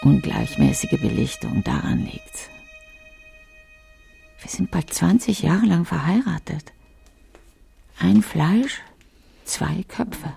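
An actress playing a bitter, brooding older wife in a literary radio drama monologue, with a husky, creaky voice and sardonic delivery. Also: female voice, fairly low pitch, animated, slow pace, long pauses, mellow, faint music behind, exaggerated voice.

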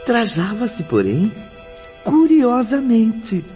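A man's voice: sits high, wildly swooping pitch, extremely slow, warm tone. A man narrating a radio drama in a solemn, literary style. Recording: dull sound, music behind.

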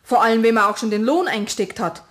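A woman's voice: on the high side, close to an everyday voice. A woman playing a strict, conscientious mother in a children's radio play, with a Bavarian accent and a firm manner. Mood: exasperated, scolding. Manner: scolding, brisk, firm.

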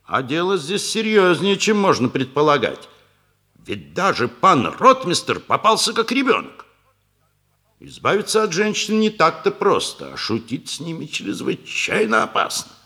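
An actor playing a fussy gentleman who narrates a radio comedy in a theatrical, confiding manner. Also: fairly high pitch, big pitch swings, measured pace, breathy edge, full character voice. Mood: confiding, indignant. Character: fussy gentleman warning about hussars and women. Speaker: narrator character.

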